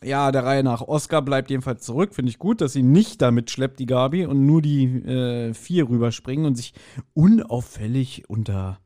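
A man's voice: medium pitch, normal speed, warm tone. A man chatting casually as a podcast co-host, recounting things in a joking way and often chuckling. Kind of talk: casual chat, recounting and discussing.

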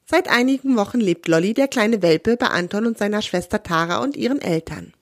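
A woman host of a children's podcast, hoarse with a cold, reading a story aloud in a friendly, expressive manner. Her voice rises and falls a lot, and it sounds close and dry on the mic. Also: medium pitch, no room sound.

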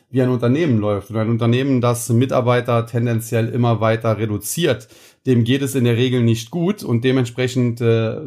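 A man's voice: mid-range voice, darkish tone. Man with a cold hosting a podcast. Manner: frequent filler sounds, explanatory. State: has a cold. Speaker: podcast host. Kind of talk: casual market commentary.

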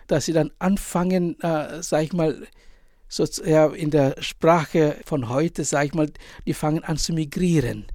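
A man in his forties from Indonesia, a priest and author, answering interview questions in German with an Indonesian accent, pausing often and searching for words. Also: on the high side, a little mellow, no echo, clean studio sound. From Indonesia, island of Sumba. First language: Indonesian language. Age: 40s. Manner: halting, many pauses and fillers, searching for words.

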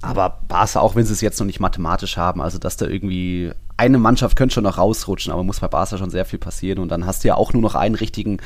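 A man's voice: sits low, fast, few pauses, no echo. A man co-hosting a sports podcast in casual, conversational talk. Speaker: football podcast host.

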